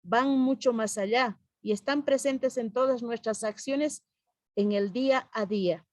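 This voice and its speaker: A woman's voice: on the high side, a little slow, darkish tone, no echo. Woman, Bolivian Spanish accent, official speech, declamatory, video call, dry sound. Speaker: Bolivian culture ministry representative.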